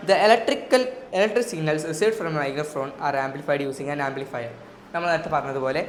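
A woman with a Malayali accent tutoring physics, explaining step by step as in a school lesson. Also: low voice. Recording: echoey room with faint noise.

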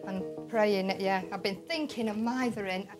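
A middle-aged woman, talking in an excitable, chatty way with a mid-height voice. Faint music plays under her. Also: medium pitch, normal speed. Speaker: bride's mother. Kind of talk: casual chat.

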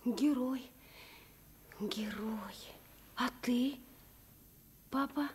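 A woman's voice: a little high, a little mellow, a little hiss or hum. An actress playing an earnest, wide-eyed nine-year-old girl in a radio play, asking things with childlike wonder. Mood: hushed, awed. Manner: slow, wondering, childlike questioning. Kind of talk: Soviet radio play acting.

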